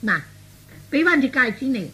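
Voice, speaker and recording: Man voicing a bright, husky, scheming young woman. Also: very high-pitched, very animated, normal speed, full character voice. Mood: scornful, indignant. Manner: scolding, taunting, emphatic. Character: sharp, scheming young woman, a jilted fiancée. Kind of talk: Cantonese radio comedy acting.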